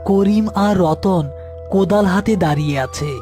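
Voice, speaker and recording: A male storyteller delivers scripted horror narration. His voice is high for a man, with faint music underneath. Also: moderate pace, warm tone.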